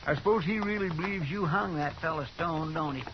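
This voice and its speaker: An actor playing a loyal, talkative frontier deputy in a 1950s radio western, folksy, rustic and deferential. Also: male voice, on the high side, brisk, lightly acted. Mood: earnest. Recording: dull, noisy 1950s radio recording.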